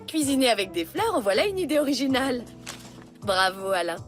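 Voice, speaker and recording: Village schoolmistress, encouraging, instructive, fairly high voice, cartoon dub, some music. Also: female voice, sing-song, fairly quick. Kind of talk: French animated series dubbing.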